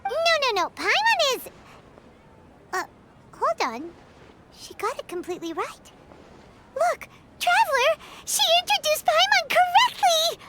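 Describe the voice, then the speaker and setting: A woman voicing a tiny, excitable floating fairy companion in a squeaky childlike game-character voice. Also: measured pace, pauses often, heavy background noise, exaggerated voice. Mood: excited. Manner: refers to self in third person, exclaiming.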